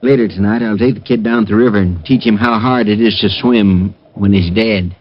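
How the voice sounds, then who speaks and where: An actor playing an older American bar owner and crook, gruff, sly and threatening, in a vintage radio crime drama. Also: male voice, fairly low pitch, fairly quick, over loud music, natural voice. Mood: menacing. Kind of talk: old-time radio crime acting. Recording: dull, noisy old radio recording.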